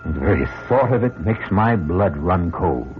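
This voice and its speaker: An actor playing a macabre storyteller host, narrating an old radio mystery in a theatrical, ominous way with deliberate phrasing. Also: male voice, sits low, lively intonation, background noise. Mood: macabre relish. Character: macabre male storyteller host relishing doom. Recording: muffled old radio broadcast.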